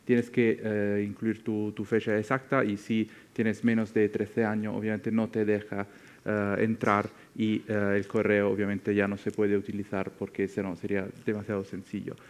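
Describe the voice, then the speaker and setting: A man from Italy with an Italian accent, speaking a second language as a panelist, explaining things off the cuff and often pausing to search for words. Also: fairly low pitch, monotone. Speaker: tech company representative on a panel.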